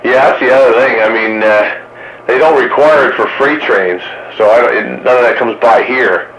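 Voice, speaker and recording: An American man chatting casually and haltingly over two-way radio, thinking aloud as he goes. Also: medium pitch, a bit bright. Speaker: radio hobbyist in a group chat. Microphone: two-way radio, recorded from a receiver stream. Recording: lo-fi CB radio with static.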